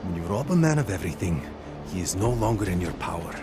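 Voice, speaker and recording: A weary, nervous repairman from a video game,. His voice is lowish but rises and falls a lot as he halts and searches for words. Game music plays behind him. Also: big pitch swings. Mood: relieved. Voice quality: halting, weary, fumbling for words. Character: weary augmented male repairman, nervous and tired. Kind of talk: video game cutscene acting.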